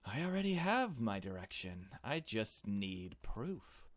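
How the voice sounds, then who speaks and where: A man's voice: on the low side, very animated, takes it slowly, dry recording. A man voicing a blunt, secretive girl detective in a visual novel game, curt and dismissive. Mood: guarded, curt. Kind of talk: visual novel dialogue read aloud.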